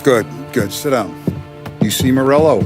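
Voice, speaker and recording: Actor as commanding, measured 1930s mafia boss. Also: male voice, mid-range voice, lightly acted. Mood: smug, measured. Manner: deliberate, authoritative speech. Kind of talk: cinematic video game acting. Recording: music behind the voice.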